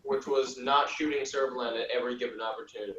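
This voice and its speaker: Distant, echoey man, deadpan and deliberate. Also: medium pitch. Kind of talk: casual chat, episode review. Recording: roomy, distant, muffled microphone.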